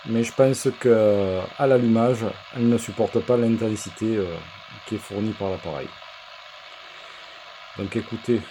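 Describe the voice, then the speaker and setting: A man presenting an unscripted workshop demonstration as a repair technician, explaining things in a halting, hesitant way. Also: on the low side, warm tone, slight background noise, low-quality recording. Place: indoor electronics workshop.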